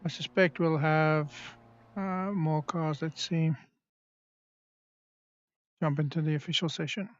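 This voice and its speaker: A man's voice: on the high side, fairly even intonation, dry recording, lo-fi sound. A man, streaming a sim race and casually explaining how the car handles while chatting with viewers. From South Africa. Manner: easygoing, explains car handling between pauses. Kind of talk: live-stream commentary while racing.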